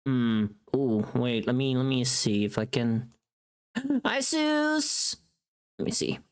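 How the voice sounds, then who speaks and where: A livestreamer with a mid-pitched, very animated voice chats casually to viewers. The delivery is playful and rambling, thinking out loud as ideas come, with loose, sweary language. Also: slow, smooth. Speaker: livestreamer and voice pack creator.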